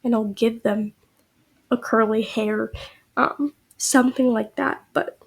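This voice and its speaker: A woman's voice: a little high, fairly even intonation, slow pace, creaky, breathy edge. A young woman with a cold and a slightly nasal voice, chatting casually to her viewers with lots of "like"s and "um"s. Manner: chatty, rambling, many "like"s and "um"s. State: sick, a little nasal. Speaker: YouTube creator.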